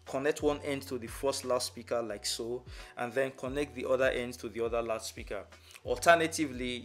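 A young man presenting an instructional tutorial in a measured, step-by-step manner. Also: medium pitch. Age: young adult. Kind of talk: instructional explanation to camera. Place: small furnished room indoors.

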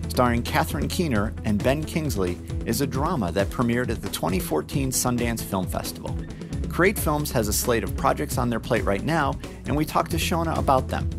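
A man hosting a podcast, reading a measured scripted introduction and then asking interview questions. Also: medium pitch, creaky. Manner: measured, announcer-like delivery. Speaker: podcast host.

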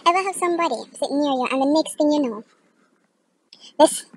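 A woman performing a theatrical audition monologue as an exasperated, emphatic complainer disgusted by sick people, with deliberate pauses. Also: sits high, close to an everyday voice. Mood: disgusted complaint.

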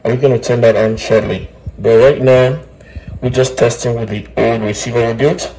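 A man explaining step by step in a halting way, as host of a hands-on electronics tutorial. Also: mid-range voice, steady tone, mellow, rough recording. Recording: clear background noise with clicks.

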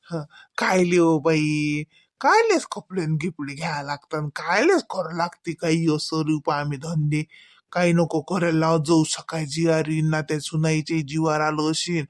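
A woman's voice: sits low, no echo, studio quality. A woman playing a modest, fretful old village grandmother in a rural comedy cartoon, grumbling in homely Varhadi Marathi. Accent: Varhadi Marathi, Vidarbha.

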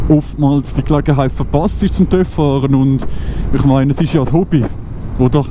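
A man in his twenties with a Swiss German accent, chatting casually while riding a motorcycle, thinking aloud with many fillers and restarts. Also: medium pitch. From Switzerland. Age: 20s. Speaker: motovlogger. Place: outdoors on a moving motorcycle on country roads. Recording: wind and engine noise from riding.

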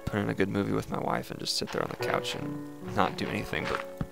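A young man with a raspy voice, casually chatting and thinking aloud as he hosts a gameplay video. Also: on the low side, brisk, loud music behind the voice. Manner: relaxed, chatty, thinking aloud while playing. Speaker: let's play host.

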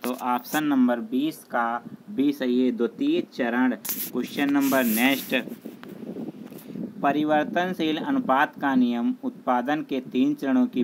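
A man's voice: medium pitch, background noise. A man working as an exam tutor, methodically reading out multiple-choice questions and their answers.

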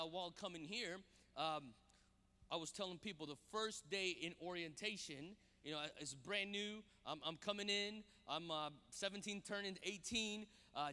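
Bright-voiced man. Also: high-pitched. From Brazil. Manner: animated, repeats words when starting sentences. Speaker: guest pastor. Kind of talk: sermon with personal stories. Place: large church stage with audience. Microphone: handheld mic. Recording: long hall reverb.

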